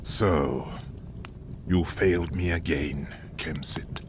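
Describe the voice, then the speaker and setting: An actor playing an ominous, imperious villain with a gravelly voice in a video game cutscene. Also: male voice, very deep voice, takes it slowly, fairly bright tone, slight background noise, full character voice. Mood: cold menace.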